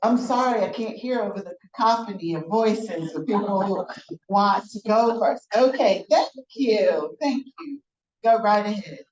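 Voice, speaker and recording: A woman's voice: medium pitch, expressive, a little slow, a little mellow. A woman facilitating a workshop, guiding tables through priorities in a friendly, organized way. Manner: friendly, organized, talks to the room. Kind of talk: leading a group discussion. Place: large conference room. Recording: echoey, distant and dull room recording.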